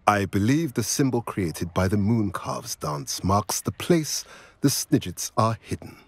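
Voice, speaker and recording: Wise game centaur seer: grave, archaic, unhurried, husky. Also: male voice, lowish voice, expressive, heavily acted. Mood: cryptic, solemn. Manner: formal, measured, archaic phrasing. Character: solemn, wise male centaur seer, cryptic and protective. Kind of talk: video game voice acting.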